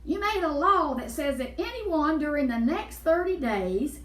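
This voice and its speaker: A woman's voice: high-pitched, lively intonation, unhurried, voice pushed up. A woman reading a children's storybook, playing a jealous adviser with an insistent, accusatory manner. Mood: accusing. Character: scheming, jealous wise men accusing a rival. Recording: echoey room, distant mic.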